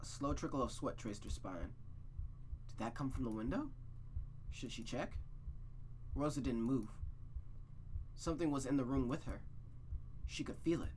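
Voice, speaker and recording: A man's voice: mid-range voice, lively intonation, normal speed, long pauses, a little mellow, slight background noise, rough recording. A man reading a visual novel aloud in a suspenseful storytelling style, with playful, casual asides.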